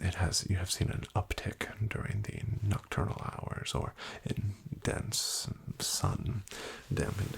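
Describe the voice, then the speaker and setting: Male ASMR host, whispered doctor roleplay, low gravelly voice, dry wry delivery. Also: a little hiss or hum. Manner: slow, hushed and wryly deadpan, with soft fillers.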